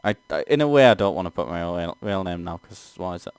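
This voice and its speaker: A man's voice: low-pitched, lively intonation, fast, clean tone, no room sound. A man doing a let's play, reading a text game aloud and reacting casually.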